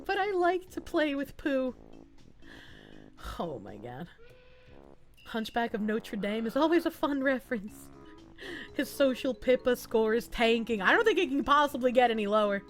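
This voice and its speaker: A woman's voice: on the high side, very animated, moderate pace, pauses often, faint music behind. A woman streamer giving casual, sardonic, sweary livestream commentary on posts she reads. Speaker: streamer reading and reacting to posts.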